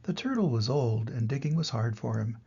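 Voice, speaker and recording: An older man reading a children's story aloud as a grandfather, with expressive, deliberate narration and dramatic emphasis. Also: medium pitch, vocal fry, husky, highs cut off.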